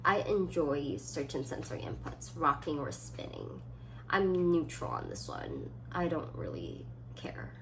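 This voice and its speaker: A young woman around twenty with a fairly low voice that rises and falls freely. She talks casually and reflectively, as a vlogger alone with her thoughts, in short halting answers. There is some faint noise in the recording. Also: wildly swooping pitch, fairly bright tone, rough recording. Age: about 20. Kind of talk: casual solo chat, reading quiz items aloud and answering. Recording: clearly audible background noise.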